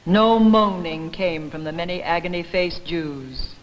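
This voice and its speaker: A woman giving a staged poetry reading in deliberate, theatrical phrasing, recorded for a 1960s broadcast. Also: lowish voice, dull audio, a little hiss or hum. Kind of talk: dramatic poetry recitation. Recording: reverberant hall, 1960s broadcast.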